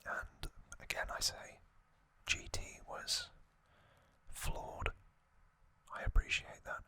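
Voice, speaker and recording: Whispering man, very slow and hushed. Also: no echo. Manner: hushed, unhurried, halting with pauses. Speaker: host of an ASMR channel. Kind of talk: whispered ASMR commentary, unscripted.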